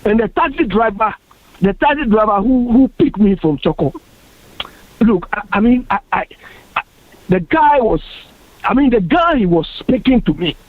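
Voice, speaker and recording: A man's voice: sits high, lively intonation, moderate pace, pauses often, dry recording. A Ghanaian man making his case on a radio panel discussion, earnest and emphatic, often repeating himself. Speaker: panel guest. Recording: dry tinny phone-like radio sound.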